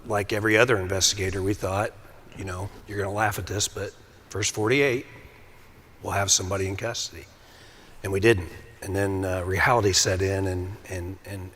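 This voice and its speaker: Raspy-voiced police sergeant, halting. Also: male voice, deep voice, fairly bright tone. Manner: halting, many ums and restarts. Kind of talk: emotional interview answers.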